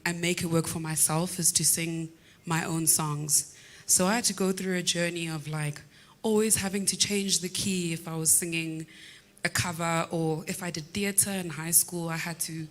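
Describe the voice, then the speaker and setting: Woman, South African accent, baritone voice, reflective, panel talk, studio. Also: fairly bright tone. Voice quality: low baritone voice. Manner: reflective, many "um" pauses. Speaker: singer-songwriter panelist. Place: recording studio.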